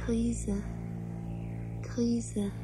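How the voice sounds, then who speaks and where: A woman's voice: fairly high pitch, level tone, extremely slow, music in the background. A woman playing an impassive computer announcer in a science fiction radio play, mechanical and repetitive. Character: impassive female computer announcer of a war-room.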